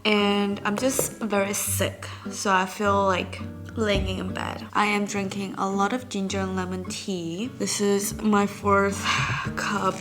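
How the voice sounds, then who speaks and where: A young woman speaks with a thick, nasal voice because she has a cold, her pitch sitting in the middle range. She talks in a chatty, diary-like way into her phone camera, with a clear music bed playing behind her. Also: flat delivery, slow. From China. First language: Chinese. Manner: chatty, diary-like, laughs lightly. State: stuffy nose from a cold. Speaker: vlogger. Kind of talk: casual vlog chat. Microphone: handheld phone camera. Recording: vlog with music bed under voice. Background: background music.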